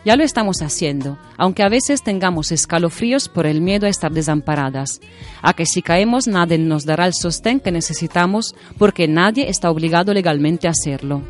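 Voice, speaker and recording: A woman reading a scripted essay in a measured, declarative way. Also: fairly low pitch, lively intonation, faint music behind.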